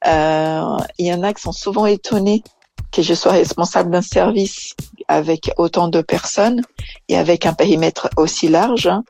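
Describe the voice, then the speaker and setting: A woman's voice: lowish voice, moderate pace, gravelly, a little mellow. A woman from Brazil, an engineering manager answering interview questions hesitantly with many fillers and restarts.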